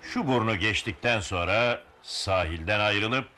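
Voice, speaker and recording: An actor playing a calm, kindly ship's captain in a dubbed cartoon, authoritative and measured. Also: male voice, fairly low pitch, over music, close to an everyday voice. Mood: friendly, calm. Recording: noisy, lo-fi cartoon soundtrack.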